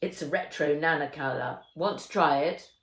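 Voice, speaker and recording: A woman's voice: sits low, moderate pace. A woman voicing a cheeky, opinionated, fashion-loving girl in a children's audiobook, bossy and teasing. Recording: roomy echo.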